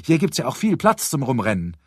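A man's voice: mid-range voice, huge pitch swings, fairly quick, breathy edge, dry recording, studio quality. A man voicing a chatty, unfazed little girl in an audiobook, with a matter-of-fact, childlike manner. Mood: eager, chatty. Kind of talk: audiobook character voice.

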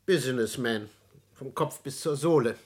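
Older male actor playing a genial confidant in a radio drama; urbane, avuncular, medium-pitched, formal delivery. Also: normal speed, lightly acted. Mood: matter-of-fact. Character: genial, reassuring old male friend, worldly and amused. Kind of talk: German radio play acting.

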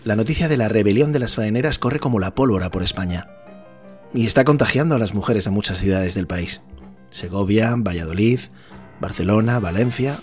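A man plays a grave senator in a radio play. His voice is fairly low and formal, with faint music behind it. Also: a little fast, darkish tone, natural voice. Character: grave male senator bringing bad news. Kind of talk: audio drama acting.

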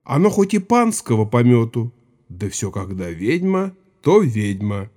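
An actor playing a gruff, gray-haired village Cossack who spins folk tales in a rambling, colloquial, self-satisfied way in an audio drama. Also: male voice, medium pitch, very animated, a little mellow. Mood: grim, scornful. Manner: rambling, unhurried, colloquial, savoring the tale.

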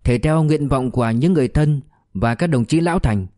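A man's voice: mid-range voice, fairly quick, dark tone, no room sound, studio quality, pitched above the performer's own voice, lightly acted. A man voicing an eager, formal male deputy director in a novel reading. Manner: formal, official.

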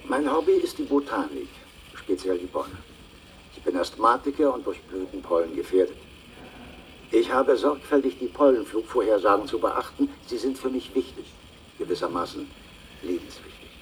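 Distanziert klingender, förmlicher Amateurbotaniker auf Band. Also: male voice, fairly low pitch, lively intonation. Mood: earnest, measured. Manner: measured, formal, precise wording. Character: earnest asthmatic travelling salesman and amateur botanist. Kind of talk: formal recorded statement in a radio play. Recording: distant taped voice, faint noise.

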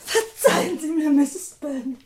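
An actress playing a defiant, impulsive young woman, high-strung and excitable, in a German radio crime comedy. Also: unhurried, background noise. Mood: tearful. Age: young adult.

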